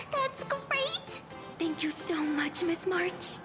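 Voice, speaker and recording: An extremely high, sweet young fox girl from an anime game. She gushes and pleads theatrically, drawing out her words with big swoops in pitch. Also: very animated, extremely slow, music in the background, full character voice. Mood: grateful, tearful.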